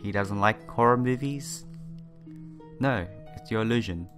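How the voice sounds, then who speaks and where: A man's voice: on the low side, unhurried. A man doing a game playthrough, reading the story text aloud with casual asides and stumbling over some words. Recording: game music behind the voice.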